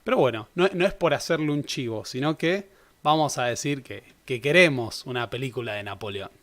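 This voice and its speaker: A man's voice: mid-range voice, animated, a little fast, fairly bright tone. A young man giving a casual, conversational history lecture. He corrects himself often and adds joking asides. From Argentina. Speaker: history teacher.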